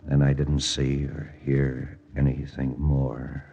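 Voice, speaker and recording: Low-voiced hardboiled lieutenant. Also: male voice, takes it slowly, gravelly, muffled recording, heavily acted. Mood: tense, terse. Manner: terse, deadpan first-person narration. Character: hardboiled American lieutenant, wry, jealous, narrating his own spy story. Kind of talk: 1940s radio drama acting. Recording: old radio recording with music underneath.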